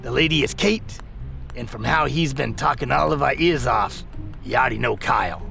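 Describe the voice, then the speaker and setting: A man's voice: mid-range voice, moderate pace, gravelly, sharp tone, soft background music. A man playing a grouchy, streetwise older henchman supervisor in an audio drama, slangy and sardonic. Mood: grumbling.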